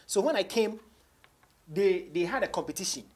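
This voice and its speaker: A man's voice: high-pitched, lively intonation, normal speed, darkish tone. A man in his thirties with a Ghanaian accent, telling stories casually as an interview guest, switching between English and Twi. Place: small indoor studio.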